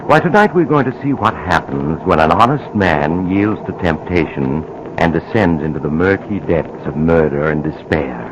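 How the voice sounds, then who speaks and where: An actor playing a mysterious, sinister host who narrates a 1940s radio mystery in a theatrical, deliberate, teasing way. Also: male voice, sits low, expressive, noisy background. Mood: eerie, inviting. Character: mysterious, sinister male storyteller welcoming listeners to chills. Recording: old radio broadcast with music. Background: music.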